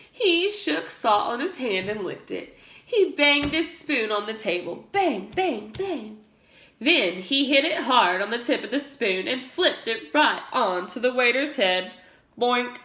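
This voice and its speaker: Slow, animated woman reading a storybook. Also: on the high side, echoey room. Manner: acts out sound effect words with emphasis. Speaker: pre-K teacher reading aloud. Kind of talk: expressive children's storybook read-aloud.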